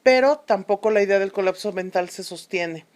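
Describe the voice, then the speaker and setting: A woman plays an unsentimental female historian being interviewed on a fictional programme. Her voice sits at a medium pitch. She explains things deliberately and with authority, in radio drama style. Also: darkish tone, natural voice. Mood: measured, authoritative.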